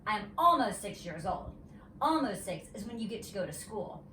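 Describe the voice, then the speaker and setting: A woman reading a children's storybook aloud like a teacher, in an animated, expressive style. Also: medium pitch, moderate pace. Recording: roomy, distant mic, faint noise.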